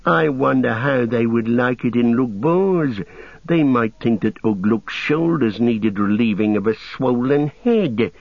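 A man voicing a sly, evil orc with a soft, sinister, sneering delivery in a storybook reading. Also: mid-range voice, voice pushed up. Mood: mocking. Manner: sneering, insinuating, measured. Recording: roomy, dull lo-fi sound.

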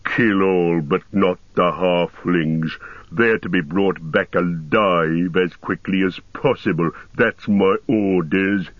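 A man voicing a huge, domineering orc commander in a deep growl, blunt and boastful, in a storybook reading. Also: slow pace. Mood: commanding. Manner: blunt, commanding, emphatic declarations. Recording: roomy, dull lo-fi sound.

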